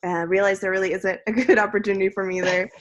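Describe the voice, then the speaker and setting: A woman's voice: mid-range voice, level tone, fast talker, lo-fi sound. A woman in her thirties raised near Portland, chatting casually with small laughs while introducing herself on a video call. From raised near Portland, Oregon. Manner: chatty, laughs between phrases. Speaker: coding program participant. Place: indoor room.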